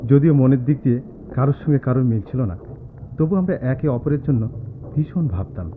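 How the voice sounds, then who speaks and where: An actor playing a quiet, studious young man in a radio drama, speaking reflectively with dramatic pauses. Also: medium pitch, lively intonation, noisy background, natural voice. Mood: pensive, hesitant. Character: quiet, studious young man recalling his student hostel days. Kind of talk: Bengali radio drama acting. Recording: tinny lo-fi radio play, music behind.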